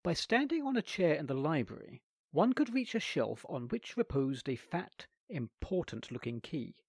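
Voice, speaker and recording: A man reading a humorous classic short story aloud with wry, expressive delivery. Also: on the high side, normal speed, breathy edge, dry recording, clean studio sound.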